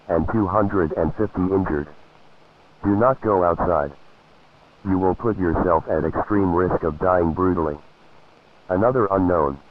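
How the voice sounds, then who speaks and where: Male civil alert reader, low voice, formal measured delivery, narrow radio-style band. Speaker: emergency alert announcer. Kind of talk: scripted emergency broadcast warning.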